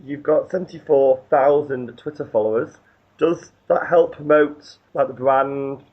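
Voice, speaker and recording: A man's voice: mid-range voice, fairly even intonation, unhurried, slightly rough, narrow, tinny audio. A man hosting a radio interview, asking questions and agreeing easily, often ending with tag questions. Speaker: radio host.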